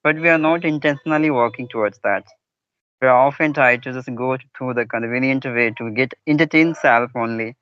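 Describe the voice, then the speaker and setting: A man's voice: medium pitch, brisk, fairly bright tone, clear, resonant tone, no room sound. Polite man, dry lo-fi audio. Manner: polite, formal, keeps saying ma'am. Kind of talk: conversation practice chat.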